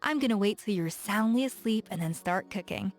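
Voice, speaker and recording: Woman doing a confident cheery game chef, medium voice, soft music under it. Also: medium pitch, lively intonation, fairly quick, close to an everyday voice. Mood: teasing. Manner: warm, encouraging and playful. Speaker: game character. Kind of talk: game voice acting.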